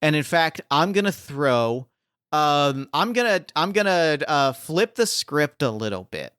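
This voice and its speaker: A man's voice: a little high, sing-song, measured pace, fairly bright tone, smooth, no room sound, clean studio sound. A man acting as game master, thinking aloud with many fillers and restarts as he sets up a scene. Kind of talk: improvising a tabletop game scene.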